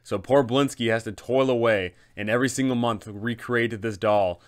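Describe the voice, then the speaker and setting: Man, thirties, medium pitch, explanatory storytelling, emphatic, tabletop game guide host. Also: normal speed, clean tone. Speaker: host and game master giving advice.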